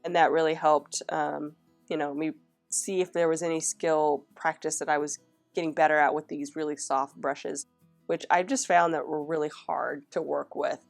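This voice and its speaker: A young woman talks through her planner pages in a relaxed, chatty way, pausing on fillers and starting her sentences over. Her voice sits very low and is rough and scratchy from a cold. Faint guitar music plays softly behind her. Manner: chatty, with frequent fillers and restarts. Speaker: planner vlogger. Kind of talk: casual planner flip-through narration.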